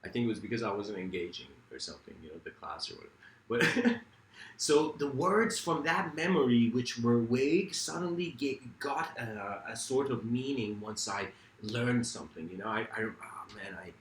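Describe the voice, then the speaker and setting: A man's voice: mid-range voice, lively intonation, normal speed. Iranian-born man musing in a roomy space. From Iran. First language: Farsi. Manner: rambling, self-correcting, with frequent fillers. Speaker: speaker. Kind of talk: reflective philosophical lecture.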